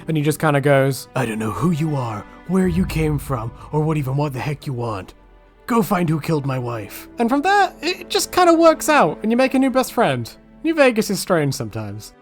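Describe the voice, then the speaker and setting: A young adult narrating humorous scripted gaming commentary in an animated, slangy storytelling manner. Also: mid-range voice. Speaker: video creator narrating gaming comics.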